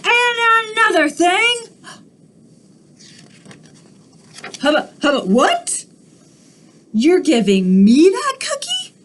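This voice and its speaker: A woman doing a shrill, demanding cartoon pigeon. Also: full character voice. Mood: whining, pleased. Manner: loud, demanding, whining. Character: pushy, whiny pigeon, young male. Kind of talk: storybook read-aloud.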